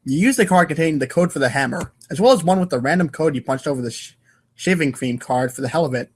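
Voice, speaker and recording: A man's voice: a little high, expressive, quick pace, slightly rough. A man narrating an informal comic read-aloud show in a playful, theatrical manner. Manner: playful, theatrical, voices sound effects.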